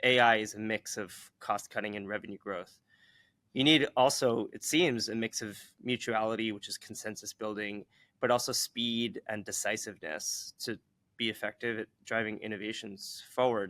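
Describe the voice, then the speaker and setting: A man working as an interviewer, speaking in a measured, articulate way as he builds up long, considered questions. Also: fairly low pitch, steady tone, bright, forceful tone, no room sound.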